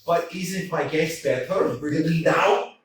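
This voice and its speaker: A man's voice: a little high, slow, a little hiss or hum. A man voicing an anxious, quarrelsome young landowner who keeps complaining about his heart, in a staged play reading recorded in an echoey hall from a distance. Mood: agitated. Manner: fretful, complaining, flustered. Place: small theatre stage.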